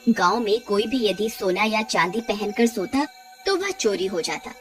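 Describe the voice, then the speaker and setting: A woman's voice: medium pitch, animated, moderate pace, soft background music. A woman reading scripted narration for a cartoon horror story.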